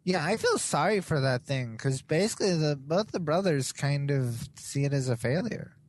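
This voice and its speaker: A young man with a fairly high voice talks through a show in casual podcast chat. His pitch swings about a lot, and he sounds earnest and enthusiastic, with plenty of fillers. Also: lively intonation, normal speed, dry recording. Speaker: podcast co-host.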